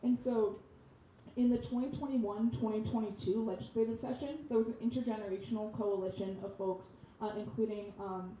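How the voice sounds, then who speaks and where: A young woman on a youth climate panel, her voice very dark and mellow. She speaks in an echoey room and turns emphatic and loud when she drives a point home. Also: medium pitch, steady tone, fairly quick, slight background noise. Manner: grows emphatic and louder when stressing a point. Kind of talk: panel discussion.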